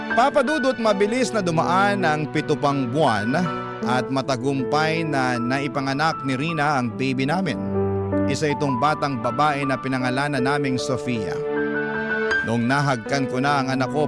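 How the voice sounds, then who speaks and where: Casting: male Filipino radio storyteller, mid-pitched, earnest scripted narration, loud music bed underneath. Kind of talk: scripted emotional first-person narration. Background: prominent background music.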